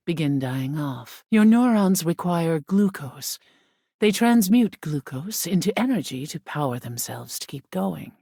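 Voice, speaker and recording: A woman voicing an ancient, aloof female spirit of air in a video game, with a husky, breathy voice that often sinks to a whisper and a solemn, formal delivery. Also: lowish voice, dark tone, no room sound, studio quality, exaggerated voice. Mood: cold, grandiose. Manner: languid, imperious, solemn, formal diction. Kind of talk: video game character acting.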